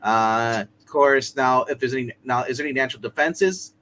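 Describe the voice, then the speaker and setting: A man running a tabletop roleplay game speaks in a casual, halting narration. His voice sits at an ordinary pitch, with noticeable noise behind it. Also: a bit bright. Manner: casual, halting, circling back as they narrate. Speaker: game master. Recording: clear background noise.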